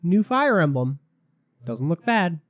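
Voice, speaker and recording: A man hosting a casual gaming podcast, a little sick and raspy, chatty and loose, laughing often. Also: fairly high pitch, very animated, takes it slowly. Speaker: podcast host.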